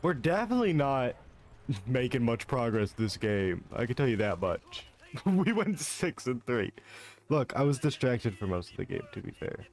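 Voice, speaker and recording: A man's voice: medium pitch, sing-song, normal speed. A young man giving casual, self-mocking commentary while streaming a shooter game, swearing and laughing between shots.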